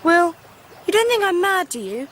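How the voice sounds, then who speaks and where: A very high, animated young girl's voice with an upper-class English accent. She is earnest, questioning and anxious, a headstrong child of a Victorian radio drama. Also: normal speed, a little hiss or hum, heavily acted. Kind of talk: 1990s radio drama acting.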